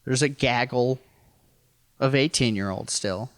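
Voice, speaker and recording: A man's voice: mid-range voice, measured pace, dry recording, studio quality. An American man from rural Missouri telling a personal story casually as a podcast guest, in a wry, self-deprecating, profane way. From rural Missouri, United States.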